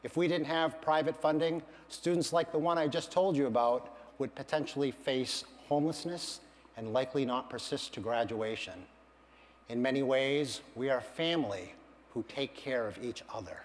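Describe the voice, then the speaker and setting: A middle-aged man, a university financial aid administrator, giving an earnest, measured prepared speech at a campaign event. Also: medium pitch, in a large hall, a little hiss or hum. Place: large event hall.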